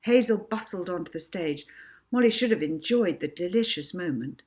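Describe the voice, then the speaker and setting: A woman reading a children's book aloud as an expressive narrator. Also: fairly low pitch, normal speed. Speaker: author reading own book.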